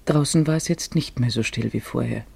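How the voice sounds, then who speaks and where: Weicher, dunkler Erzähler mit belegter Stimme. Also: male voice, mid-range voice, fairly quick, vocal fry, mellow, slightly rough. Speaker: narrator. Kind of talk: literary scripted narration for a radio play.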